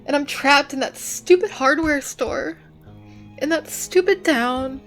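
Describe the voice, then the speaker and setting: A resentful young woman trapped in a small-town life, as an actress voices her in an audio drama. She speaks slowly, with a gravelly, creaky edge and a tearful, bitter tone. Faint music plays underneath. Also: fairly low pitch, steady tone, a bit bright, low-quality recording. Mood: despairing. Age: young adult. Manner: resentful, tearful, uses like as filler. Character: young woman stuck running family hardware store, bitter. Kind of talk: dramatic dialogue.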